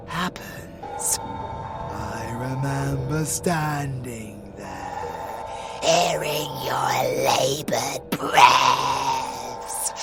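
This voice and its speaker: A British man playing a sadistic supervillain in an audio roleplay, menacing and gleeful, with a theatrical, taunting, drawn-out delivery. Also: fairly high pitch, creaky, full character voice. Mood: boastful, then predatory relish. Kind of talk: villain audio roleplay, dramatic acting. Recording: music behind the voice.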